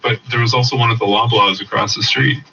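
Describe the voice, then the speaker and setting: A man's voice: mid-range voice, steady tone, fast talker, bright, forceful tone. A man with a Canadian accent chatting casually as a radio co-host. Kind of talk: casual chat. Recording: dull, distant radio rebroadcast, slight hiss.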